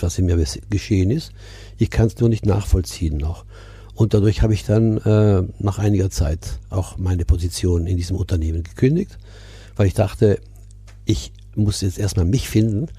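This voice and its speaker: A man in his seventies from Milan, telling his life story reflectively and deliberately as a podcast guest. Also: fairly low pitch, dark tone, husky, no echo. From Milan, Italy. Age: 70s. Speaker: coach, interview guest.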